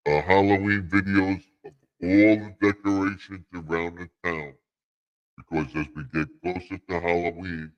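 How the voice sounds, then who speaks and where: A man's voice: sits low, fairly bright tone. A man hosting a livestream and casually promoting his channel, in dull lo-fi sound. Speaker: livestream host.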